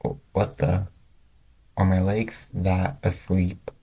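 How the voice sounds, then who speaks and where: A man's voice: low-pitched, completely flat delivery, slow pace, mellow, husky, heavily acted. A man voicing a vain, easily panicked young pop princess turned cartoon horse in a fan story. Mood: confused.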